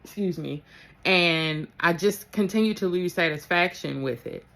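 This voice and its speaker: A woman's voice: fairly low pitch, measured pace, creaky, fairly bright tone. A woman speaking as a spiritual coach in a casual, motivational talk to her followers, informal and emphatic, often checking in with her listeners. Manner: informal, emphatic, addresses listeners with "okay" and "honey".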